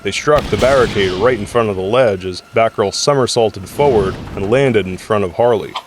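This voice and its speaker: A man's voice: on the low side, moderate pace, background noise. A man reading scripted, dramatic narration for an audio drama. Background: music score.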